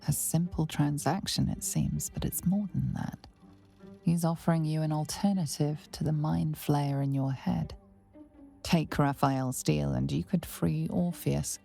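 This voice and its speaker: An actress voicing a grave storyteller who narrates a video game, hushed, breathy, measured and ominous, with music underneath. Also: female voice, deep voice, steady tone. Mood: wary, foreboding. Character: grave, foreboding female storyteller advising the hero.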